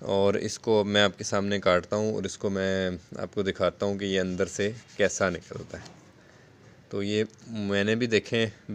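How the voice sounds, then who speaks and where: A man's voice: lowish voice, clean tone. A man giving a casual, explanatory gardening vlog narration in a conversational, repetitive way. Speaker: home gardening video host.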